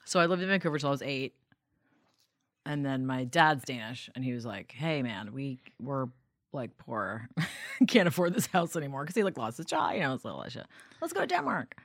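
Lively, playful woman comedian. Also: extremely low pitch, normal speed, dry recording, clean studio sound. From Canada. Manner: playful, self-mocking, laughs often. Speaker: comedian guest. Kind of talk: casual comedic chat.

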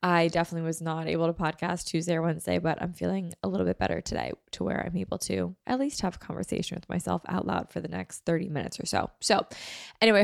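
A woman's voice: fairly low pitch, creaky, no room sound, studio quality. A young woman hosting her own podcast, talking casually with lots of fillers and sounding nasal from a head cold. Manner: chatty, many fillers. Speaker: podcast host. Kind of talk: casual solo podcast chat.

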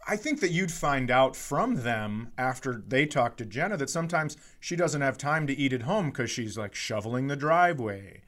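A man's voice: mid-range voice, lively intonation, moderate pace, hardly any pauses, a bit bright. A man co-hosting a podcast in casual comedic banter, dry and teasing, with some swearing. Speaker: podcast co-host.

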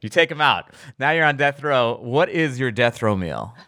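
A man hosting a casual food chat, playful and quick to laugh. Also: mid-range voice, animated, bright, forceful tone, no echo, studio quality. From Persian heritage. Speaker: podcast host.

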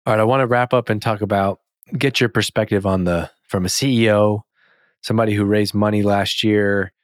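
Man, lowish pitch, dry recording, podcast host, casual conversational interviewing. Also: fairly even intonation, a little fast, dry recording.

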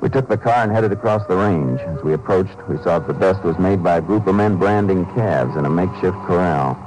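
An actor playing a polite, determined Texas Ranger with an American accent, speaking in measured, laconic 1950s radio drama style. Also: male voice, low voice, natural voice, rough recording. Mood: narrating, matter-of-fact. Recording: old 1950s radio broadcast.

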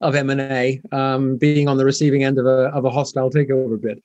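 An older man from Canada with a softened Canadian accent, speaking as a guest in a business interview in a measured way with frequent ums. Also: medium pitch, flat delivery, dull audio.

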